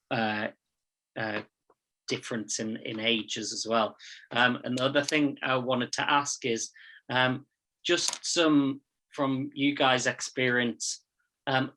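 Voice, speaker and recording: A man contributes to an online discussion in a halting, conversational way. He talks very slowly in a flat, bright voice. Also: mid-range voice, low-quality recording. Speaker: webinar participant. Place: video call.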